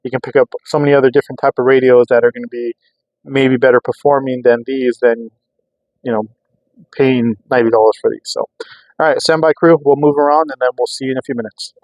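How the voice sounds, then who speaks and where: A man's voice: medium pitch, fairly even intonation, fast talker, darkish tone, dry recording, poor audio. An American man talking casually and off the cuff as a vlogger testing gear, rambling and thinking aloud. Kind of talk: casual unscripted vlog narration. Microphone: clip-on microphone.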